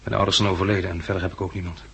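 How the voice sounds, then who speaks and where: A man's voice: deep voice, flat delivery, racing, vocal fry, sharp tone, breathy edge, heavily acted. An actor playing a cautious, protective, level-headed man among the survivors in a 1960s radio drama. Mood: sober, practical. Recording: old radio recording with faint hum.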